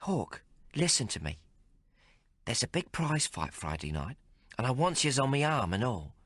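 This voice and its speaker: A man's voice: mid-range voice, very animated, moderate pace, a bit bright, no echo, clean studio sound, voice pushed up. A man reading aloud and voicing a coarse, fiery young female prostitute. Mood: brazen. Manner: coarse, bold, mocking.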